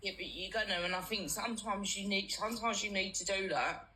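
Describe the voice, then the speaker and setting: A woman's voice: mid-range voice, brisk, sharp tone, slight background noise, poor audio. A British woman chatting casually in a colloquial, sweary, supportive manner. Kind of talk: casual chat.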